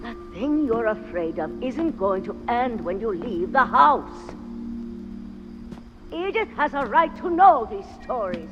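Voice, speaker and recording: A woman's voice: on the high side, big pitch swings, slow pace, lo-fi sound, over music. An actress playing a very old, stubborn great-grandmother who tells stories wistfully in a video game. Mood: defiant. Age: 80s or older. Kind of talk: slow dramatic narration in a video game.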